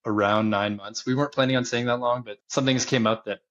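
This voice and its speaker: A man in his thirties, a podcast guest, talking casually about his business and travels, relaxed and often chuckling. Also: medium pitch, a little fast, dull audio. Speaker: podcast guest, land investor. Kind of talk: casual interview talk.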